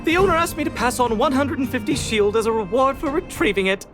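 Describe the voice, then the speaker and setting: A woman's voice: sits high, expressive, quick pace, music in the background. A woman voicing a polite, gracious auction house attendant in a video game, formal and courteous. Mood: delighted, grateful. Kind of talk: animated video game voice acting.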